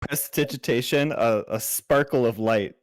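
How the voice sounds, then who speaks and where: A man's voice: medium pitch, moderate pace, no room sound. A man chatting casually as a player at a tabletop game, with a playful, wry manner.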